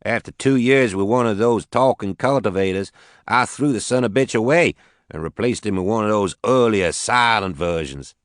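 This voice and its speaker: A man's voice: fairly low pitch, moderate pace, dry recording, studio quality, pitched above the performer's own voice, natural voice. A man voicing a brash young male farmer with a Southern US accent, folksy and swearing freely, in an audiobook. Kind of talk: audiobook character voice.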